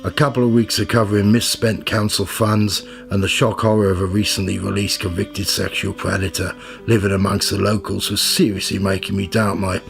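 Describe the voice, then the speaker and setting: Gravelly, low-voiced cynical rogue journalist played by a man. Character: cynical world-weary rogue journalist, fifty-year-old man. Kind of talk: radio play narration and dialogue.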